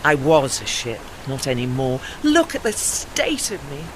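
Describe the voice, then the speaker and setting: A woman's voice: very deep voice, very animated, unhurried, background noise, heavily acted. A woman playing a proud, worn old female warship with formal, dignified speech in an audio drama. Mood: anxious, wounded pride.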